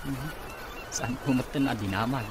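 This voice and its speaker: A man's voice: medium pitch, normal speed, background noise, lightly acted. A man acting a nosy, inquisitive office worker in an Ilocano radio comedy drama. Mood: curious.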